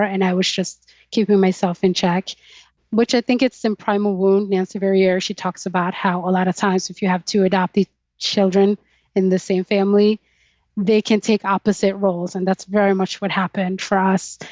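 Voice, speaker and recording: A woman's voice: medium pitch, a little mellow, no echo, lo-fi sound. A Swedish-born woman whose first language is Swedish, a podcast guest speaking candidly and thoughtfully about her life. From Sweden. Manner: reflective, thoughtful, with light laughs. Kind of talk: candid personal interview.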